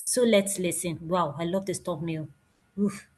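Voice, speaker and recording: A woman with a Nigerian accent presenting informally at an online event, emphatic and animated, with exclamations and repeated phrases. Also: on the low side, a little slow, breathy edge.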